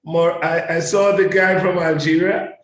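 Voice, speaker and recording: Male interview guest, high-pitched, enthusiastic and conversational, repeats words for emphasis, very echoey sound. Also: fairly even intonation, a little slow. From Trinidad and Tobago.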